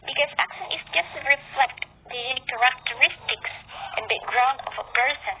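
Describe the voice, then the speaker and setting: A woman's voice: high-pitched, normal speed. A woman with an Indonesian accent sharing an upbeat, earnest opinion in a voice message. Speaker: discussion participant. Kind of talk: prepared voice message on a topic. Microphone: phone voice message. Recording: phone-like audio with clear noise.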